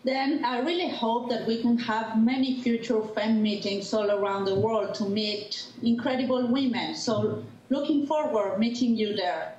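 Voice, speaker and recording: A woman's voice: a little high, measured pace, hardly any pauses, a little mellow. A woman with a Mexican accent, a native Spanish speaker, speaking reflectively as a conference participant. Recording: dull, echoey, noisy online recording.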